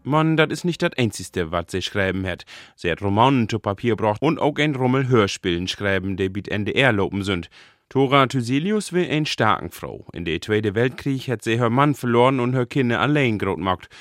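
A male radio reporter with a northern Low German accent delivers scripted narration. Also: animated, moderate pace, dry recording, clean studio sound.

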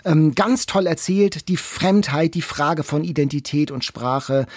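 A man's voice: on the high side, measured pace, a little mellow, dry recording. A man co-hosting a podcast who introduces a guest and her work, listing themes with enthusiasm. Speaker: podcast co-host.

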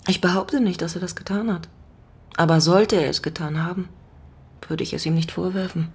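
A woman's voice: on the low side, steady tone, fairly quick, a little mellow, breathy edge. An actress playing a lonely middle-aged woman in an intimate radio drama, playful yet haunted by her vanished father, with a reflective, teasing way of talking. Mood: gentle.

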